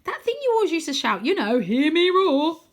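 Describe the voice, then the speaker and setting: Woman as an animated, high-pitched boy adventurer. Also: a little fast. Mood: nervy eagerness. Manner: animated, exclaiming. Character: plucky, anxious boy adventurer, cheeky best mate. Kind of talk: children's storybook reading.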